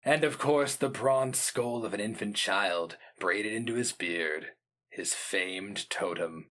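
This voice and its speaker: A man giving a grave, measured, theatrical reading of epic fantasy as the narrator. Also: lowish voice, a bit bright.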